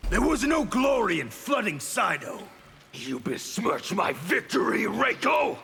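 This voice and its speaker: Fanatical chaos warrior, fighting game, gravelly rasp, slow taunting delivery, noisy hall reverb. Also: male voice, high-pitched, sing-song, bright, forceful tone, faint music behind, heavily acted. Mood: fervent. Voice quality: gravelly, harsh, strained rasp. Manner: theatrical, defiant, taunting. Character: fanatical male anarchist warrior preaching chaos and freedom. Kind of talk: fighting game character acting. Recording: reverberant hall, background noise.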